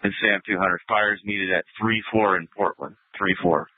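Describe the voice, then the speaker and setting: Over narrow, phone-like scanner audio, a male police supervisor gives instructions. His voice is low and the sound is dry. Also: fairly even intonation, normal speed, husky, dry recording. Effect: police radio. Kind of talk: police radio transmission.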